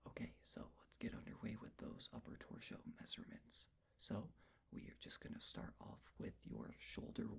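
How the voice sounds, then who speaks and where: Casting: ASMR performer, very breathy whisper with a lilting delivery, gentle and reassuring personal-attention roleplay. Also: low voice. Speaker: ASMR performer playing a measurer taking face and body measurements.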